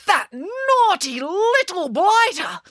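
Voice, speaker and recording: A woman voicing an excitable, indignant middle-aged mother who tells tall tales in an audiobook, with dramatic emphasis. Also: medium pitch, normal speed, no room sound, studio quality, pitched above the performer's own voice. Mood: shocked outrage. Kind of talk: audiobook character dialogue.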